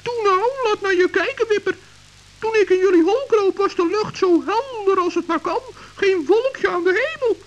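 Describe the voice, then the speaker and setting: A woman's voice: very high voice, normal speed. A woman playing a small, anxious but plucky male forest gnome in a children's radio play, exclaiming and prone to stammer. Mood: dismissive. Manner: exclaiming, stammering when nervous. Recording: dull, hissy old radio recording.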